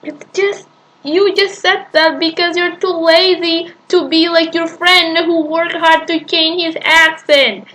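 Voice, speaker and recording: A woman's voice: extremely high pitch, flat delivery, slow pace, bright, forceful tone, rough recording. A woman reacting to a video she is playing, arguing back at it in an exasperated, rambling way with sighs and restarts.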